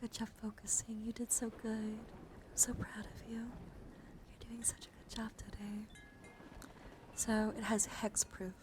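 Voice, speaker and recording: Soft, whispery woman doing gentle ASMR. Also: fairly high pitch, flat delivery, measured pace, pauses often. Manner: soft-spoken, often dropping into whispers; gentle, soothing, encouraging. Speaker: livestream host showing trading cards. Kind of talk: ASMR, soft casual chat.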